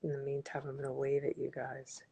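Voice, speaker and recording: A woman's voice: a bass voice, completely flat delivery, a little fast, creaky, mellow, husky. A woman in her forties hosting a casual live-streamed interview from home, bubbly and enthusiastic, with frequent ums, heard through a laptop mic. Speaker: host. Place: room at home, indoors. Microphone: laptop webcam mic on a video call.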